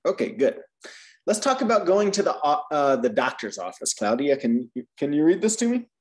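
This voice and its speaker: An English teacher tutoring a student, gently correcting and modelling phrases slowly. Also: mid-range voice, very animated.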